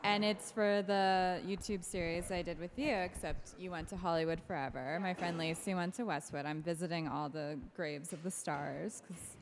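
A woman's voice: fairly low pitch, steady tone, normal speed, slight background noise. A woman telling casual, comic stories as a podcast guest in a reverberant room with people around. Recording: reverberant room with audible crowd. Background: crowd.

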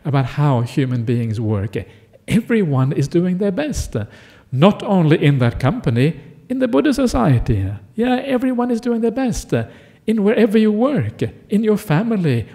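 A middle-aged man born in Norway, a Buddhist monk giving a relaxed, conversational Dharma talk full of "uh" fillers and "yeah?" checks. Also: fairly high pitch.

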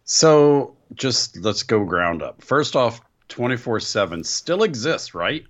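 A man's voice: mid-range voice, very animated, slow, highs cut off. A man co-hosting a casual wrestling podcast, joking and sarcastic, his voice slightly worn. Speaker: podcast co-host.

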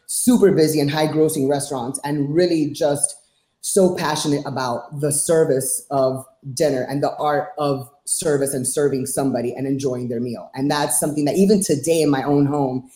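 A woman's voice: low voice, warm tone. Blunt, outspoken woman in an echoey room. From Miami, United States. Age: 40s. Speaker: fitness coach and interview guest. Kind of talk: conversational interview answers. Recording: roomy, echoing sound.

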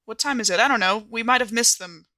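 Female tabletop player, bright forceful tone, wry improvised chat, dry studio-close sound. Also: fairly high pitch, quick pace, no room sound. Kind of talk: casual in-character improvised chat.

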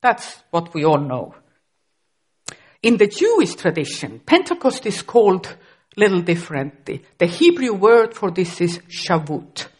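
A woman's voice: lowish voice, sing-song, measured pace, a little mellow, breathy edge. A middle-aged woman from Estonia, a native Estonian speaker with an Estonian accent, preaching a sermon carefully and with occasional restarts.

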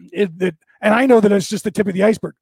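A man hosting a casual livestream, rambling through spiritual musings with many restarts, his slightly raspy voice tiring. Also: high-pitched, brisk, darkish tone, no echo. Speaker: podcast host. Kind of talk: casual livestream monologue answering viewer comments.

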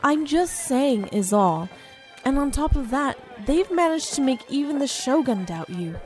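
A woman's voice: sits high, animated, measured pace, darkish tone, faint music behind. A woman voicing a cheerful female companion who explains things in a teasing, upbeat way.

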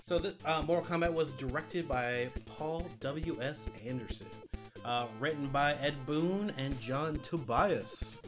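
A man's voice: on the high side, a little slow, music in the background. A man co-hosting a podcast, talking casually and enthusiastically about films with some swearing. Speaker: podcast co-host presenting a movie countdown. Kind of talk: casual film discussion.

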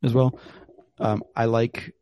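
A man's voice: medium pitch, little pitch movement, slow pace, dark tone. A man hosting a podcast, chatting casually over whiskey in a rambling way with plenty of fillers. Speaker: podcast host. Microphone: remote call.

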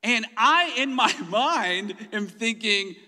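Male pastor, higher voice, very bright and forceful tone, self-mocking humor, echoing sanctuary. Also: animated, slow pace. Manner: humorous self-deprecating storytelling; laughs often mid-sentence. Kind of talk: sermon. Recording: long hall reverb.

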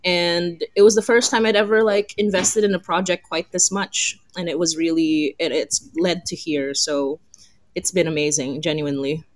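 A woman with a slightly low voice talks as a podcast co-host in a casual, rambling way. She is prone to giggling and filler words. The sound is dry and close, with no room around it. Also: fairly even intonation, moderate pace, dry recording. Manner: rambling, frequent "like" and laughter. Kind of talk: casual chat on a live podcast.

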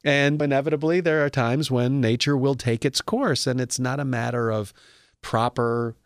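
Man, podcast host, casual chat, rambling, musing, mid-pitched, dry close sound. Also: moderate pace, dry recording. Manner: rambling, musing, animated asides.

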